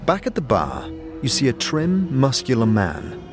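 A man's voice: medium pitch, wildly swooping pitch, unhurried. A man giving scripted second-person narration for a fantasy audio drama. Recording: music bed behind the voice.

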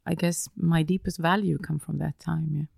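Low husky-fry austrian woman, middle-aged, lots of uhs and restarts in an interview. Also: fairly even intonation, normal speed, a little mellow, no echo, clean studio sound. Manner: hesitant, many fillers and restarts. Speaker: interview guest, business executive. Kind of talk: casual interview conversation.

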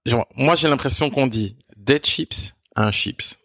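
A man's voice: mid-range voice, measured pace, bright, forceful tone, no room sound, studio quality. A man hosting a podcast in playful, casual conversation. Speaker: podcast host. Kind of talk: casual chat.

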